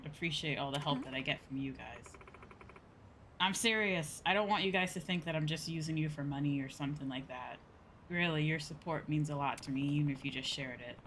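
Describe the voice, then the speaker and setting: A young woman chatting casually on a game livestream, rambling, expressive and informal, swearing freely. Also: sits low, a little hiss or hum. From outside Japan. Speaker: VTuber game streamer. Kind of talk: casual livestream chat over a video game. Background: game music.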